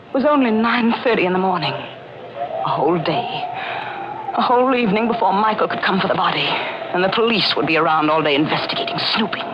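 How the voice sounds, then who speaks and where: An actress playing a tense, cold-blooded woman in an old radio suspense drama, with an intense, deliberate, melodramatic delivery. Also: fairly low pitch, expressive, background noise, lightly acted. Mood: dread, suspense. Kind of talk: old-time radio dramatic first-person narration. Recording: muffled old radio recording with loud music.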